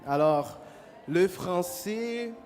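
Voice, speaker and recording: A man's voice: high-pitched, expressive, extremely slow, a little mellow, faint music behind. A Brazilian man whose first language is Portuguese, speaking carefully and good-humouredly, apologizing for his mistakes. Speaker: tenor and musical director. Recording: distant in a reverberant hall.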